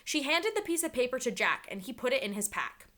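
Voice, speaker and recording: A woman reads a children's story aloud in a teacherly, dramatic way. Her voice is bright and fairly high, with very lively intonation. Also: brisk.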